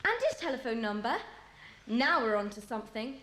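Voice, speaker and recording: A woman's voice: fairly high pitch, animated, a little fast, a bit bright. A woman voicing an eager, alert young schoolgirl, a little sister in a children's audio drama. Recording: roomy, faintly noisy cassette dub.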